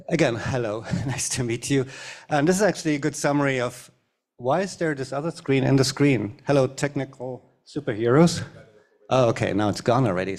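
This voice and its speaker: Middle-aged german guy w/ german accent, rambling friendly panel talk, cracks jokes at himself, average pitch. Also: darkish tone. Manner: chatty, self-deprecating, joking asides. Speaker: panelist designer. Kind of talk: conference talk with slides. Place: university event hall.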